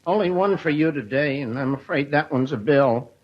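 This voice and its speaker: A man's voice: fairly high pitch, moderate pace, lightly acted. An actor playing a mournful mailman who says cheery things gloomily, in 1940s radio comedy style. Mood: mock-cheerful gloom. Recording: noisy, dull old radio recording, audience behind.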